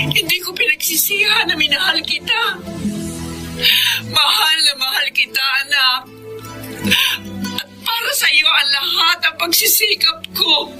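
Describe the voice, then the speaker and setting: High-voiced, heartfelt single mother. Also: low-quality recording. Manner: earnest, emotional speechmaking. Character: devoted, struggling single mother, humble and heartfelt. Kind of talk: Filipino radio drama acting. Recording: music behind the voice.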